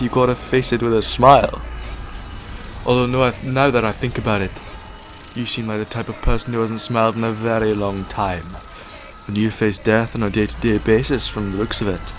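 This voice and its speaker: Guy acting sardonic time-traveller hero in an audio drama, lowish voice, taunting, music underneath. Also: natural voice.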